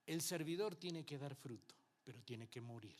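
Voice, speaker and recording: A man's voice: medium pitch, animated, moderate pace. A man from Uruguay, a pastor, teaching a Bible class in church in Uruguayan Spanish with some Mexican influence, talking with the congregation and acting out what people say. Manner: conversational preaching with frequent rhetorical checks and acted-out quotes.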